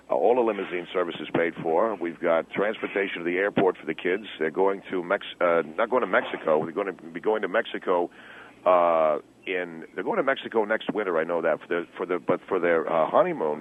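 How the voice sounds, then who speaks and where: A man's voice: sits low, slight background noise, voice pushed down, lightly acted. A man playing a brash, miserly middle-aged ad executive and father of the bride, blustering and argumentative on a radio call-in comedy show. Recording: band-limited tinny phone line.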